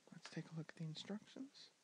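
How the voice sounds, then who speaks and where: A young man with a soft, gentle voice teaching a board game in a soft-spoken ASMR style, slipping in and out of whispers and pausing with ums. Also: on the high side, slight background noise. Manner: drifting in and out of whisper, hesitant with many ums. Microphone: handheld camera mic.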